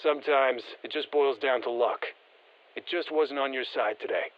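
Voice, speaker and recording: An actor playing a seasoned, wry special forces operative in a video game, speaking matter-of-factly through a radio filter. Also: male voice, medium pitch, normal speed, lo-fi sound. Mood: urgent, commanding. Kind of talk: scripted game voice lines, field commentary.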